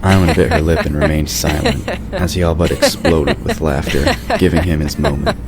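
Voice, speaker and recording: A man's voice: very deep voice, steady tone, normal speed. A man reading scripted story narration for an audio drama. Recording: clearly noisy background.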